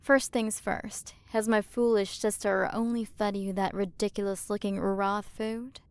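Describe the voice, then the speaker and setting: A woman's voice: mid-range voice, little pitch movement, no echo, close to an everyday voice. A woman playing a haughty, possessive alien galaxy queen in an ASMR roleplay, condescending and flirtatious as she toys with the listener. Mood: boastful, indulgent. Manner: condescending, flirtatious, drawn-out delivery with breathy drops into whispers. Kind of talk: ASMR roleplay, scripted character acting.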